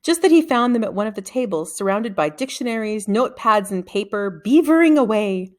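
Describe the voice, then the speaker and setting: A woman's voice: mid-range voice, animated, a little fast, natural voice. A woman voicing an amused female schoolteacher sharing news in a storybook reading.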